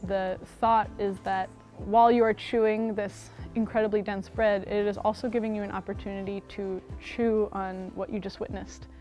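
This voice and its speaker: A woman's voice: medium pitch, flat delivery, normal speed, darkish tone, faint music behind. A woman, explaining things in an interview as a member of a theater.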